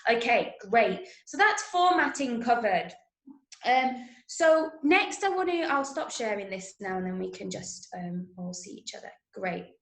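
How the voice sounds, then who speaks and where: English lady teaching drama class on a video call, highish voice, echoey room, really encouraging. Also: big pitch swings, a little slow. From Manchester, England. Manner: encouraging, praising students' answers. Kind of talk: interactive online lesson. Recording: roomy, echoey sound.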